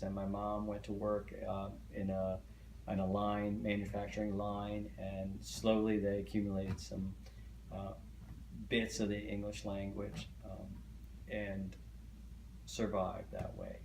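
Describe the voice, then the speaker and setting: A man's voice: fairly low pitch, monotone, slow, a little mellow. A middle-aged man whose first language is Hungarian, recalling family history in an oral history interview, with many hesitant fillers and restarts. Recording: echoey room, faint noise.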